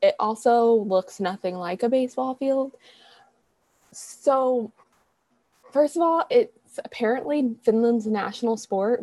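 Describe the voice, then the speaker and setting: A woman's voice: fairly high pitch, mellow, no room sound. A young woman co-hosting a podcast, talking casually in a rambling way, correcting herself often with lots of fillers. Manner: rambling, self-correcting, chatty with frequent fillers. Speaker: podcast co-host. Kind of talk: casual chat.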